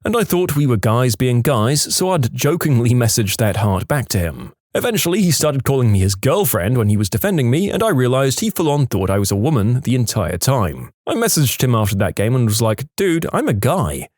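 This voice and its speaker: Animated male storytime narrator, close dry sound. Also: medium pitch, brisk, few pauses, no room sound. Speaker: host and narrator of an online stories channel. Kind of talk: scripted storytime narration of posts read aloud.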